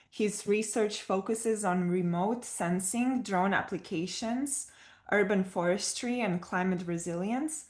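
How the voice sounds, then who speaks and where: A woman with a Romanian accent moderating an online panel, reading speaker bios with many fillers and stumbling over names, on a video call. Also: mid-range voice.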